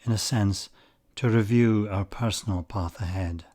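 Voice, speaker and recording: A man guiding a meditation, speaking softly and calmly, some phrases nearly whispered. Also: on the low side. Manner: soft-spoken, calm and unhurried, with breathy near-whispered phrases. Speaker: meditation guide. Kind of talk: slow guided meditation.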